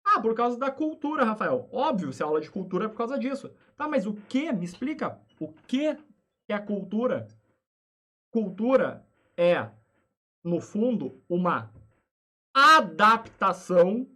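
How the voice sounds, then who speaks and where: A man with a Brazilian Portuguese accent, teaching a class in a lively way and asking himself rhetorical questions. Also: high voice. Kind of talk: lecture for students.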